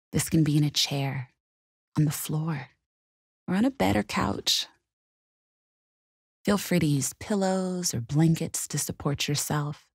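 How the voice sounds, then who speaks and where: A woman leading a guided meditation in a calm, gentle, soothing and measured way. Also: low-pitched, gravelly, breathy edge, dry recording, studio quality.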